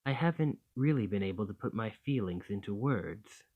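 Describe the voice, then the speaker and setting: A man voicing a gentle, bashful dragon in a romantic ASMR roleplay, tender and hesitant, doting on a partner. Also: on the low side, expressive, normal speed, husky, narrow, tinny audio. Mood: flustered, embarrassed. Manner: flustered, hesitant, tender, with sighs and stammers.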